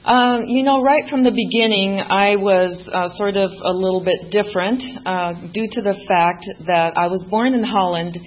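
A woman's voice: medium pitch, steady tone, moderate pace, few pauses, lo-fi sound. A woman raised in Mexico, a native Spanish speaker, giving a candid personal testimony as main speaker at a recovery meeting, with self-deprecating humor and many fillers.

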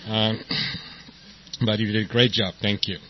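A man in his sixties from Iran with an Iranian accent, giving a halting, self-deprecating personal testimony as the main speaker at a recovery meeting, full of fillers and restarts. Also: lowish voice, level tone. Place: meeting hall with an audience. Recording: dull lo-fi recording with faint noise.

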